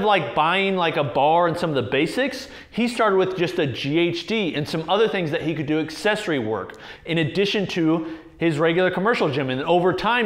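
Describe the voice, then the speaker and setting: Higher-voiced American man in an echoey room. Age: 30s. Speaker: fitness equipment reviewer and video host. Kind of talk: informal explainer to camera. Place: indoor garage gym. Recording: roomy echo.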